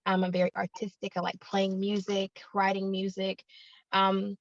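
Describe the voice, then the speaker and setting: A woman, a podcast guest who talks softly and hesitantly with many pauses. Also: medium pitch, completely flat delivery, no room sound, highs cut off. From Alabama, United States. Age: 30s. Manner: soft-spoken, hesitant with many ums, laughs easily. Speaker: guest, YouTuber. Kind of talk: casual podcast chat.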